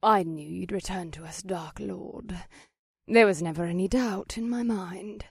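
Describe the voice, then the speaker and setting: A woman's voice: lowish voice, measured pace, gravelly, darkish tone, husky, no room sound, clean studio sound, full character voice. Woman acting a pleading, devoted witch. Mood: regretful, devoted. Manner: pleading, deferential. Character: apologetic, devoted female witch, meek before her master. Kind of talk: audiobook character dialogue.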